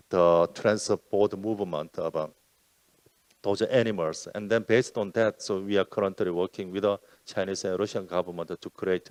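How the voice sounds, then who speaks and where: A man with a lowish voice gives panel remarks over a video call, stopping often to reword himself. The sound is dry and close, with no room around it. He speaks at an even, deliberate pace. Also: dry recording. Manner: halting, many fillers and self-corrections. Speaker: UN environmental program officer.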